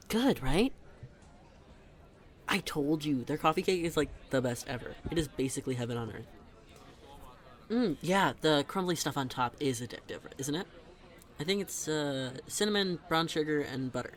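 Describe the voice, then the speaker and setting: A young man plays a best friend in an intimate audio roleplay, teasing and talkative. His voice sits on the high side for a man. The delivery is conversational and animated, with casual, emphatic phrasing. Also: close to an everyday voice. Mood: upbeat, friendly. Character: teasing, chatty best friend with a hidden crush. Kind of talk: conversational scripted roleplay monologue.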